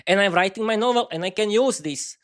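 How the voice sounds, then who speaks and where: Man, slovak accent, non-native english, unscripted solo podcast, halting, many restarts, fairly high voice. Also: a bit bright. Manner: halting, frequent restarts and fillers. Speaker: podcast host.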